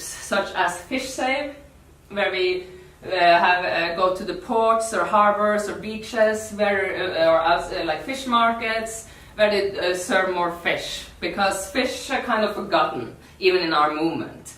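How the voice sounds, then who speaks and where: A woman's voice: medium pitch, a bit bright. Norwegian woman speaking in an echoey room. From Norway. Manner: halting, repeats words, non-native English. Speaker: activist workshop speaker. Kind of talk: informal workshop talk. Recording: echoey room, distant mic.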